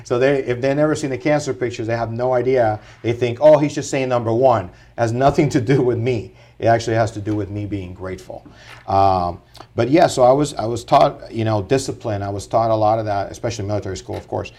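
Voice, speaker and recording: A middle-aged man from Puerto Rico, a guest on a podcast, telling his life story in an earnest, animated way. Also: mid-range voice, fairly quick. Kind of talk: casual interview storytelling.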